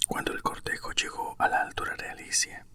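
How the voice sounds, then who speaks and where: A man narrates a storybook entirely in a sharp, airy whisper. His accent is from Spain. The hiss of his breath is bright and crisp on every phrase, in an ASMR style. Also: brisk. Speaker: narrator reading a children's story.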